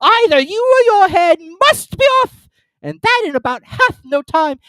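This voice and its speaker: A reader voicing a furious, tyrannical queen who shouts her commands in a very high, loud voice. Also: very animated, unhurried, no room sound, full character voice, poor audio.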